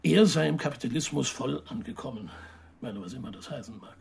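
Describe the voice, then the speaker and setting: An actor playing a measured, sceptical journalist in a radio play, speaking reflectively with pauses. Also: male voice, medium pitch, animated, gravelly, a little mellow, slight background noise. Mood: pondering, sceptical. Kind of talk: radio play narration and dialogue.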